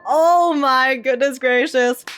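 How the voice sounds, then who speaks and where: A woman streamer reacting live to a game in an excitable, dramatic, giggly and exclaiming way. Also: high-pitched, slow.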